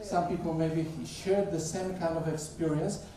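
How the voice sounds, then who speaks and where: A middle-aged man with a Polish accent delivers a chatty guest sermon and asks the congregation questions. His voice sits fairly high and moves at an unhurried pace. He is heard from a distance, in a church hall full of echo. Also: fairly even intonation, a crowd in the background. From Poland. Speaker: guest speaker, theology professor. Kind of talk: conversational sermon. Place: church sanctuary. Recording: echoey church hall, picked up from afar.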